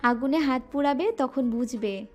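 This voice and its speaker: A woman's voice: sits high, normal speed, a little mellow, lightly acted. A female voice actor playing a shy, teasing young wife in an audio romance drama. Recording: music bed underneath.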